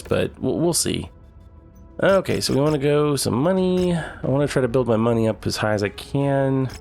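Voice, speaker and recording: Nasal man with a gravelly voice. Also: mid-range voice. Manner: relaxed, thinking aloud. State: nasal from a cold. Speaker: gaming channel host. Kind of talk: casual gameplay commentary.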